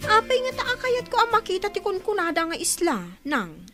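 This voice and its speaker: A woman's voice: very high voice, huge pitch swings, normal speed, music in the background, exaggerated voice. A woman with an Ilocano accent voicing a curious, eager child in a radio drama.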